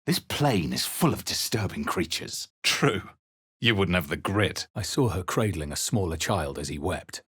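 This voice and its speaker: A brooding adventurer hero for a fantasy role-playing game. The voice sits very deep with a distinctly husky grain. Delivery is grave, measured and dramatic, captured dry and close. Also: male voice, animated, a bit bright, dry recording, full character voice. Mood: wary, dry. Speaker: video game voice actor. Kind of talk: scripted fantasy game narration, character lines and spell incantations.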